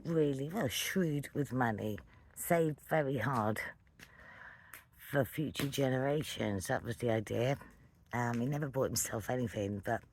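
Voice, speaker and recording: A middle-aged storyteller rambles casually with a playful, wandering delivery. The voice sits at a middling pitch between male and female ranges. There is clear noise and some clicking in the recording. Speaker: vlogger telling a personal story. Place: outdoors at night beside a fire.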